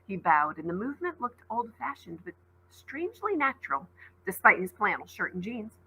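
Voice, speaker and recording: A woman reading a children's novel aloud as a teacher, in expressive storybook narration. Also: on the high side, normal speed, slightly rough, poor audio.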